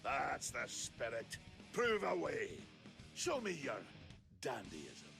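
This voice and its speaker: A man voicing a flamboyant, ageless vampire gentleman in a video game, grandiose, self-assured and unhurried. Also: mid-range voice, huge pitch swings, gravelly, heavily acted. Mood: theatrical, proud. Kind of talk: video game character acting. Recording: studio voice under loud guitar music.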